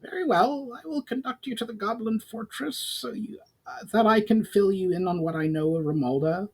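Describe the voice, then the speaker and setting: Man putting on a high-pitched, stubborn goblin. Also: normal speed, husky. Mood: firm, insistent. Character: proud, stubborn male goblin leader, formal and shrewd.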